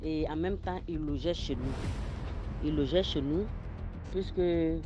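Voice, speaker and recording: A man from Ivory Coast with an Ivorian French accent, slowly telling a personal mystical story in a phone voice note and repeating phrases for listeners. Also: fairly high pitch. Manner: slow, repetitive storytelling addressed to listeners. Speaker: caller sharing a story. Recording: dull voice note over loud music.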